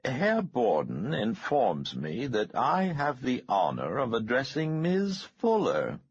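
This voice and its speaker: A man voicing a courtly, smooth-talking male billionaire with an oily, polite manner. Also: medium pitch, huge pitch swings, slow pace, dry recording, dull audio, voice pushed up, heavily acted.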